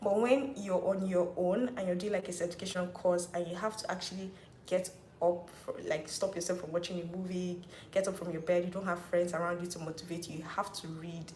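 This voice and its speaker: A woman's voice: on the low side, steady tone, a little fast, a little mellow, slight background noise. A Nigerian woman in her twenties chatting casually on a livestream, reading viewer comments aloud and often saying "like". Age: 20s. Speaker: YouTuber hosting a live Q&A. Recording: roomy echo.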